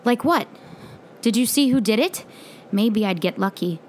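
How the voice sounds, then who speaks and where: A woman's voice: on the high side, animated, unhurried, a little mellow. A woman voicing a plucky, curious young girl in a storybook, with breathless, exclaiming questions. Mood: polite, curious. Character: curious, plucky young girl adventurer telling her tale.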